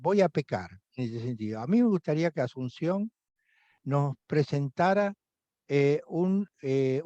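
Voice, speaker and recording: A man's voice: on the high side, a little mellow, no room sound. A man with an Argentine accent, instructor of an online course, lecturing with many hesitations and fillers. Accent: Argentine Spanish. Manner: hesitant, many fillers, recommending and inviting students. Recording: dry, dull video-call audio.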